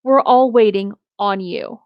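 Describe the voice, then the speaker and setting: A woman's voice: medium pitch, measured pace, no room sound, highs cut off. A woman co-hosting a casual story reading, reading lines and adding teasing banter. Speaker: co-host reading story parts.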